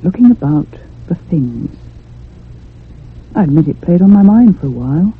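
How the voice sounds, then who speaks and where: An actress playing a curious, insistent convalescent lady who narrates in a measured literary way in a radio drama. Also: on the low side, sing-song, a little hiss or hum. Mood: reflective, measured. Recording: muffled, phone-like old radio sound.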